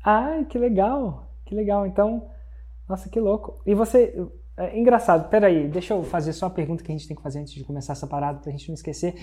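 Upbeat male podcast host, mellow timbre, eager interviewer. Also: high voice. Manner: upbeat, quick intros and eager reactions.

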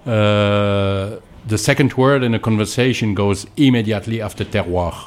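A man with a French accent, a winemaker being interviewed, explaining things in hesitant, deliberate non-native English. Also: fairly low pitch. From Alsace, France.

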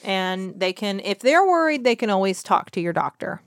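A woman's voice: mid-range voice, sing-song, normal speed, no echo. A woman with a mid-range voice, recorded dry and close with no room sound. She is a doctor answering a child's medical questions. She talks patiently and reassuringly, in plain, simple words. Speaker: doctor and podcast host.

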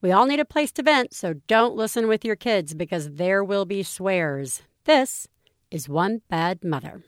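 A woman's voice: mid-range voice, expressive, a little slow, no echo, clean studio sound. A woman in her thirties co-hosting a casual chat podcast, chatty, laughing often and swearing freely. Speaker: podcast co-host.

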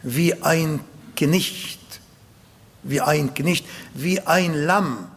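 Slow, high-voiced preacher with Arabic accent. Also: male voice, vocal fry, husky. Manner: emphatic, slow, swells louder on key points; non-native German grammar. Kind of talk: sermon.

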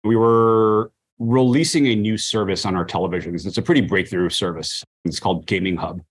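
Man, low voice, interview guest, marketing professional, conversational, lots of "you know" and "like". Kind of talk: casual interview answers about work.

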